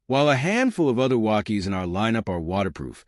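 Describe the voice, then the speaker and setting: A man's voice: on the low side, sing-song, quick pace, dry recording, clean studio sound. A man reading scripted narration for a product review, as the narrator of a buying guide.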